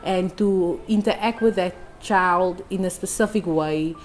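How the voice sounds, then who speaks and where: A young woman in her twenties speaks softly and thoughtfully about her tutoring work, pausing on hesitant ums. Her voice sits somewhat low for a woman, with faint music playing behind her. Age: 20s. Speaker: community tutor. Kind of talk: unscripted testimonial.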